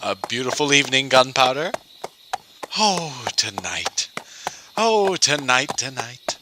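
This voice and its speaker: A man's voice: mid-range voice, very animated, slow, noisy background. A man playing a nervous, boastful, lovesick schoolmaster with a fussy, hesitant manner in an old-time radio drama style. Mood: giddy, boastful. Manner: stammering, fussy, genteel, hesitant. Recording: music underneath.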